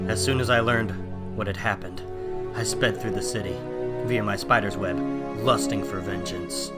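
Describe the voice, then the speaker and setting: A man's voice: fairly low pitch, natural voice. A man playing an earnest, anguished teenage superhero in a melodramatic comic-book reading. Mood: somber, narrating. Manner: emphatic, theatrical, self-questioning.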